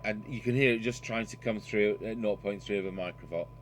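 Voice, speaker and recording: A man's voice: fairly low pitch, a little fast. A man gives an unscripted hands-on repair demonstration, matter-of-fact and thinking aloud as he works. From United Kingdom. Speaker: radio repair technician and presenter. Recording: noisy workshop recording with electrical hum.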